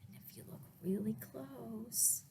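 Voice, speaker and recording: A woman reading picture books aloud to children as a librarian, in a deliberate way with pauses and little asides to the listeners. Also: a little high, vocal fry, dark tone, slight background noise. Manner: slow, deliberate phrasing with pauses. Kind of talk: read-aloud storytime with asides to young listeners.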